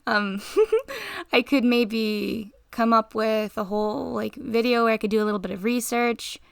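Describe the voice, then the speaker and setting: A tired young woman with a slightly raspy voice, rambling casually in a voice-over while she draws, interrupting herself and giggling. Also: on the high side, expressive, measured pace, no echo, clean studio sound. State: tired, short on sleep. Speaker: art channel host.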